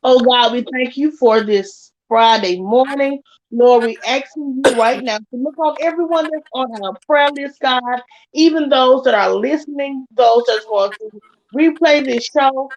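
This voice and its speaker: A woman leading a spoken prayer in an earnest, steady cadence. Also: high-pitched, a little slow. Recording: dull lo-fi remote audio with faint noise.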